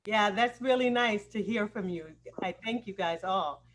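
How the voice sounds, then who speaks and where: A woman's voice: medium pitch, moderate pace. A woman hosting an informal question and answer session as a director and acting coach on a video call. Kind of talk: informal live Q&A talk. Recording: noisy video call with clicks.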